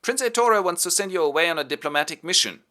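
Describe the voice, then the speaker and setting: A man's voice: high-pitched, animated, fast talker, sharp tone, pitched above the performer's own voice. A man voicing a scheming male courtier who talks in a smug, offhand way.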